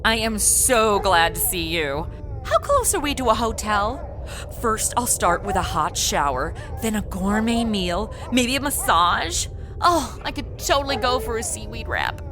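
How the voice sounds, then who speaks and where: A woman's voice: a little high, big pitch swings, unhurried, over music. A woman playing a young modern policewoman who complains sarcastically in a comedy audio drama. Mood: eager, impatient.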